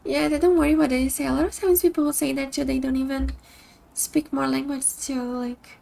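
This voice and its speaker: Brief: female streamer, Brazilian Portuguese accent, pitched high, heavy vocal fry, casual and searching. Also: steady tone, a little fast, warm tone. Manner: thoughtful, restarts sentences while searching for words. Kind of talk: casual chat with stream viewers.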